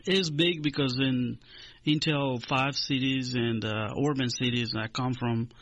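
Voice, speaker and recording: Man from Honduras, measured and hesitant. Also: medium pitch, fairly even intonation, a bit bright, highs cut off. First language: Spanish. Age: 40s. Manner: measured, hesitant with many uh fillers. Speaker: extension agent. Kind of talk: radio interview.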